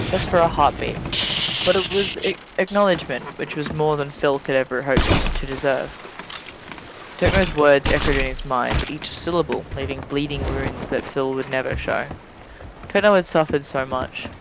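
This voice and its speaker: A man's voice: fairly high pitch, noisy background. A man reading fan fiction aloud dramatically as a streamer, dropping into casual chat, tripping on words and swearing offhandedly.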